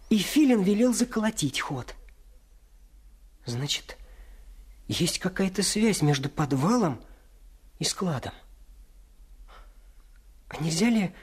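An actor playing a bold, bossy boy who leads his friends, in radio play style with urgent, hushed delivery. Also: mid-range voice, slightly rough, full character voice.

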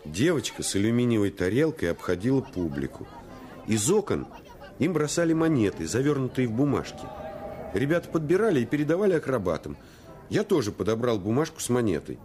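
A man playing a reflective storyteller who recalls his boyhood, narrating a radio play in measured, expressive phrases. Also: mid-range voice, a faint crowd, natural voice. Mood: warmly recalling.

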